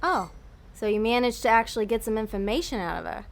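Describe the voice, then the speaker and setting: A woman playing a tough-talking, sarcastic demon hustler in an audio comedy drama, deadpan and streetwise. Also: fairly high pitch, fast, vocal fry. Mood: sarcastic, irritated.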